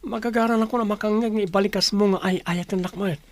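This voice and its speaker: A man acting in a radio drama as an eager young suitor, apologetic and smooth-talking. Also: sits high, steady tone, fast, no room sound. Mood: eager, courting.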